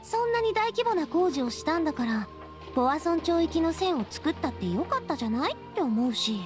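A spirited young lady boss in an anime game, speaks in a high, expressive voice that moves freely up and down. She is warm, candid and feminine, upbeat yet reflective, with music behind her. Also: fairly quick. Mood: puzzled, candid. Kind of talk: anime video game dialogue.

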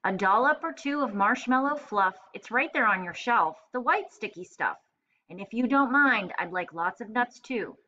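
A woman's voice: fairly high pitch, animated, normal speed, bright, forceful tone, lo-fi sound. A woman voicing a tiny, chirpy little girl in a storybook, eagerly and precisely ordering what she wants. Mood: demanding, precise. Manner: eager, precise, bossy but polite. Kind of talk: children's storybook read-aloud.

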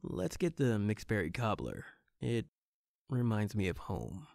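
A man's voice: lowish voice, wildly swooping pitch, measured pace, gravelly, darkish tone, no echo, studio quality, exaggerated voice. A man playing an earnest private detective who narrates his own case in a noir-parody audio drama. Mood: shocked, subdued.

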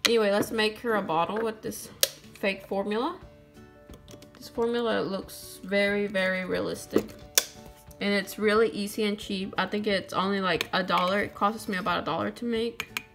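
A woman's voice: medium pitch, steady tone, moderate pace, gravelly. A young woman casually narrating doll-play cooking in a sweet, chatty way, speaking to her viewers and a doll. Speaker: doll channel host. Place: toy kitchen indoors. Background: background music.

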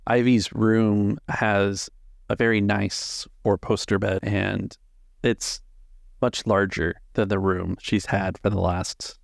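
Low-voiced man narrating, slightly gravelly. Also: level tone, slow pace, dry recording, studio quality. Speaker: game master. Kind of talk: narrating a tabletop roleplaying game.

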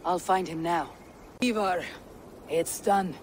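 An actor playing a calm, resolute Viking warrior who speaks tersely. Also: male voice, high-pitched, slow pace, slight background noise. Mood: steady. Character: steady young adult male Viking warrior. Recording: game soundtrack with music behind.

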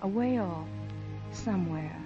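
A woman's voice: on the low side, measured pace. Sentimental, wistful woman, muffled 1940s radio drama with music.

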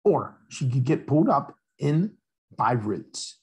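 Husky, expressive man reading to children. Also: mid-range voice, slow pace. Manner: addresses young listeners with questions and asides. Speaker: read-aloud storyteller. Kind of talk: expressive children's picture book read-aloud.

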